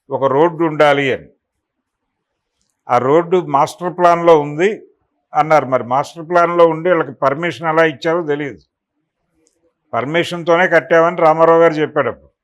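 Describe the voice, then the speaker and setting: A man giving extended spoken news analysis as a political commentator, explaining and recounting in detail. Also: fairly high pitch.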